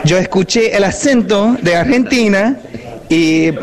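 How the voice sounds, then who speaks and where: A man's voice: high-pitched, lively intonation, a little slow. Earnest American man in learner's Spanish. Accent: American speaking Spanish. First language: English. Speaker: guest, former missionary. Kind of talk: live call-in chat.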